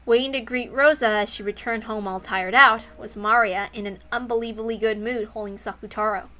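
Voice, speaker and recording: Bright, high-voiced woman reading a story. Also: steady tone, a little fast. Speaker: reader and narrator.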